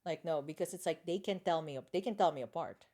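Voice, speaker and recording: A woman's voice: sits low, fast talker, warm tone. A woman with a Filipino accent, an actor and stand-up comic, chatting casually in an emphatic, exclaiming way. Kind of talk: casual chat.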